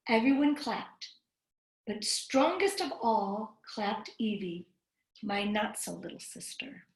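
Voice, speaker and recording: A woman reading a storybook aloud to young children in an animated, expressive way, breaking off to chat with them. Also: medium pitch, slow. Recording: roomy, echoing livestream sound.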